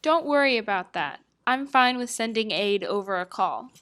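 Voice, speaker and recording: A woman's voice: on the high side, expressive, normal speed, fairly bright tone. A woman playing a coaxing, flirty Ukrainian president in an amateur satire.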